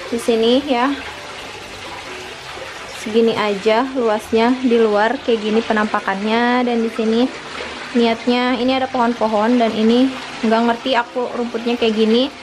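A woman's voice: a little high, background noise. A young adult woman, chatting casually and explaining things to viewers as a home-tour vlogger. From Indonesia. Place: her own small house, front yard then living room. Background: background music.

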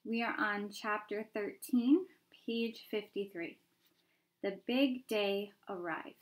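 A woman's voice: medium pitch, takes it slowly. A woman in her thirties reading a children's book aloud as narrator. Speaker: reader. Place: indoor room at home by a window.

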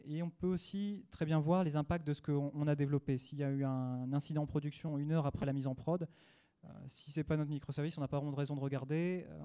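A man with a French accent speaks at a small meetup, his voice mellow and slightly higher than most men. He talks in a halting way, often pausing on fillers and restarting his words. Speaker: conference speaker. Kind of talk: technical meetup talk. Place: small dim meetup room.

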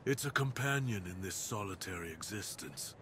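A man's voice: deep voice, expressive, normal speed, noisy background. A man voicing a proud, menacing dire wolf beast in an ASMR roleplay, speaking with grave, commanding, theatrical deliberation. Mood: solemn.

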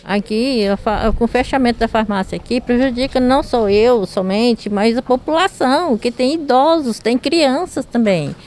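A Brazilian woman, a local resident, speaking outdoors into a reporter's handheld mic, halting and repeating herself as she complains. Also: fairly high pitch, darkish tone. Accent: Brazilian Portuguese. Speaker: resident at a protest. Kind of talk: street interview. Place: outdoors at a health post door.

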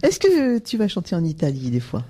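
A woman's voice: on the low side, wildly swooping pitch, brisk, dark tone, husky. A woman hosting a radio show, chatty and teasing, laughing often. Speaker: radio host. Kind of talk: casual radio interview.

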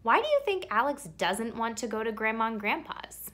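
A woman reading a children's picture book aloud expressively, with theatrical emphasis and drawn-out words. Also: a little high, very animated, fairly bright tone. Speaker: read-aloud storyteller.

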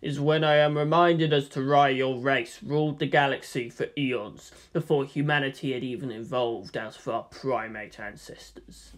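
A narrator voicing a wry male space marine, speaking slowly and hesitantly. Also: mid-range voice, steady tone, voice pushed down, lightly acted. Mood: wry awe.

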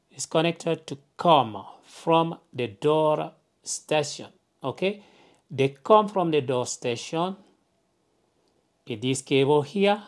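A woman with a low voice talks through a practical demonstration at a slow pace. She explains things in simple steps, often punctuating them with a checking okay, as an instructor would. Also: darkish tone. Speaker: tutorial presenter. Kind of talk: hands-on instructional narration. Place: indoors, at a wooden work table.

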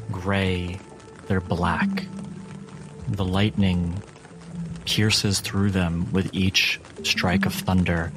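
A man working as game master, narrating a tabletop roleplaying game in a measured, deliberate way with dramatic pauses. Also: sits low.

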